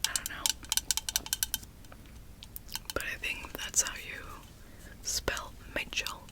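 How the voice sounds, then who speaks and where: Slow-whispering woman. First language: Spanish. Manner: soft rambling whisper, self-deprecating asides. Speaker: ASMR creator. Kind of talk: whispered ASMR ramble.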